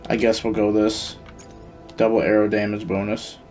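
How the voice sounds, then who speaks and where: A man's voice: on the low side, flat delivery, moderate pace, darkish tone, faint music behind. A man streaming a video game, giving casual commentary and muttering to himself as he thinks aloud. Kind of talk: casual live gameplay commentary.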